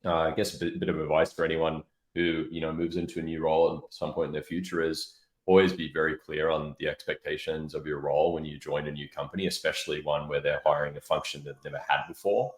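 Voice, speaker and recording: A man with an extremely deep voice talks as a guest in an interview. He explains his points step by step in a conversational style. The sound is echoey, as from a reverberant room. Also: little pitch movement, quick pace. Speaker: interview guest, product marketing executive.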